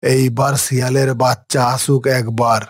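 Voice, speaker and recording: A man's voice: mid-range voice, flat delivery, moderate pace, slightly rough, dry recording, natural voice. A man voicing a bossy, angry tiger farmer in a cartoon, scolding and exclaiming. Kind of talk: cartoon voice acting.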